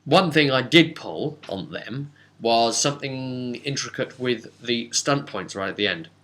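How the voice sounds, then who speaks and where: A man giving a casual, unscripted recap as a tabletop game master, rambling and animated with hesitant asides. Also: medium pitch, measured pace.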